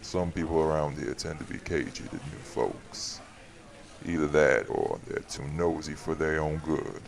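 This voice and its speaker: An extremely low-voiced man , played by an actor, with gravel in his tone. He is a courteous figure of authority, protective yet firm, speaking in a measured, folksy way, as in an audio drama. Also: lively intonation, heavily acted. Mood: welcoming. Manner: folksy, measured, addresses listener directly. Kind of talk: audio roleplay acting.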